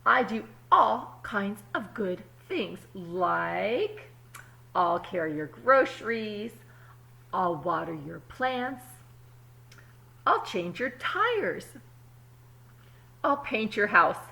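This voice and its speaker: Woman reading as an earnest little storybook egg, bright-voiced. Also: mid-range voice, very animated, creaky, heavily acted. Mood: eager. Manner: emphatic, drawn-out storybook delivery. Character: earnest, dutiful little egg, eager to be good. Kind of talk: first-person children's storybook narration.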